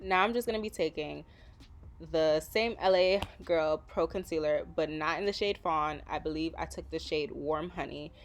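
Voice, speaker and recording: Chatty woman, low voice. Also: normal speed, slight background noise. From Trinidad and Tobago. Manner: chatty, relaxed, with frequent fillers. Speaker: makeup vlogger. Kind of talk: casual voice-over tutorial.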